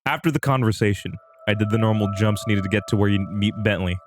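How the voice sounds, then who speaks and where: A man's voice: lowish voice, fast talker, music in the background. A man livestreaming a dramatic reading of an amateur horror story. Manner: breaks off into amused asides.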